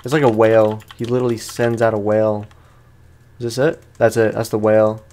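A man's voice: fairly low pitch, flat delivery, a little fast, darkish tone. A man giving casual let's play commentary on a video game, chatting to viewers in slangy language. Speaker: gaming YouTuber.

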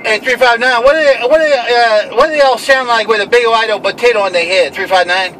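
A very high-voiced man chats in playful, repetitive CB call-outs. He sounds some distance from the microphone, inside a vehicle with some background noise. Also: quick pace, sharp tone. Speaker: CB radio operator. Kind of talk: CB radio calling chat with repeated handles. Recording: distant mic in noisy vehicle.

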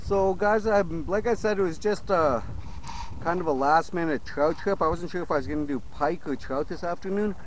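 Excitable fishing vlogger man on a lake. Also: on the high side, normal speed, a little hiss or hum, rough recording. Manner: excitable, talks to viewers as "you guys". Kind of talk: casual on-water fishing commentary. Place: small boat on a lake outdoors.

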